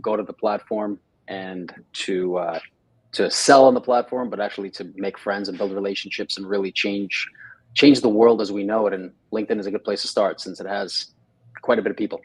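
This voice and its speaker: Guy, low flat voice, lots of uhs and restarts, dry close sound. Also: slightly rough, no room sound, rough recording. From Russia. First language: Russian. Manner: halting, many fillers and restarts. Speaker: guest. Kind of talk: casual interview chat. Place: home studio, live stream.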